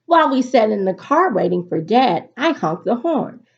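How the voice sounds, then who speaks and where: Animated woman teacher with a slightly husky voice. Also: fairly high pitch, normal speed. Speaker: teacher reading aloud to students. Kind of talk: picture-book read-aloud for a virtual class. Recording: dull, lo-fi recording.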